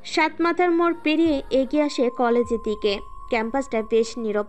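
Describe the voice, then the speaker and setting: A woman's voice: high-pitched, steady tone, normal speed, darkish tone, faint music behind. A woman reading scripted story narration as the narrator of an audio tale.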